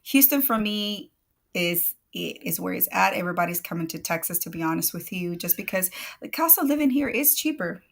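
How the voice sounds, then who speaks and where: A woman's voice: lowish voice, animated, fairly quick, vocal fry. A woman from El Salvador whose first language is Spanish, chatting casually on a video call livestream, laughing often and restarting her sentences. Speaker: guest.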